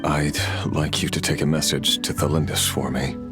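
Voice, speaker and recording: An actor playing a sombre, abyss-corroded resurrected warrior in a video game, grave and remorseful, with halting, heavy pauses. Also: male voice, a bass voice, flat delivery, over loud music. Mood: solemn, pleading. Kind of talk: dramatic video game dialogue.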